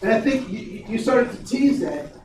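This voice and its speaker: Energetic male trainer in his 40s, high voice, distant and reverberant room sound. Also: normal speed. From Ohio, USA. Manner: energetic, questions the audience, frequent restarts. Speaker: diversity trainer. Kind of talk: interactive workshop talk. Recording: very echoey, distant, faint noise.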